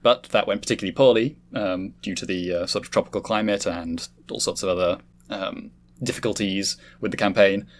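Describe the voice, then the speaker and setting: A man's voice: lowish voice, fairly even intonation, brisk. A man hosting a casual podcast discussion, talking in a wordy, explanatory way. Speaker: host leading a discussion.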